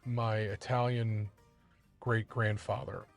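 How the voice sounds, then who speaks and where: A man, a rideshare driver hosting, telling family history in a relaxed, casual way with fillers. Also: on the low side, slow pace, gravelly, soft background music. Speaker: rideshare driver and podcast host. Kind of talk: casual conversation. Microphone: car interior mic.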